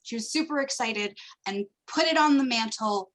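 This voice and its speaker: Woman storyteller with a highish voice. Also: normal speed, a bit bright, low-quality recording. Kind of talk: improvised casual storytelling. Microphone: video call.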